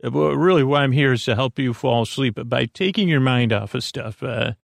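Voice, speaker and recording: Creaky-voiced man rambling through tangents. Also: medium pitch, fairly quick, no room sound, studio quality. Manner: meandering, full of tangents and fillers. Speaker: podcast host. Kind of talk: rambling bedtime podcast intro.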